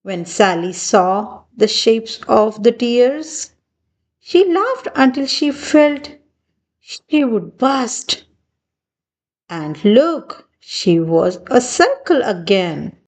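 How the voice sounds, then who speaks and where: A woman reading a picture book aloud for young children, with deliberate, expressive phrasing. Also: on the high side. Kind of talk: read-aloud storybook narration for young children.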